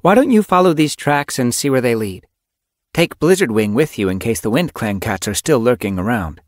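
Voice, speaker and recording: Casting: senior she-cat mentor, played by a narrator, commanding and firm, raised pitch, animal audiobook. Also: lively intonation, moderate pace, no room sound, studio quality, close to an everyday voice. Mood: measured. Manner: authoritative, instructing.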